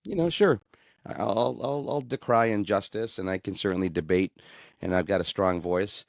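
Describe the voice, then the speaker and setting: Man, middle-aged, very deep voice, earnest, halting restarts, dry close recording. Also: creaky, no room sound. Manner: earnest, animated when indignant, many restarts. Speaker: guest sharing his divorce story. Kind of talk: candid conversational interview.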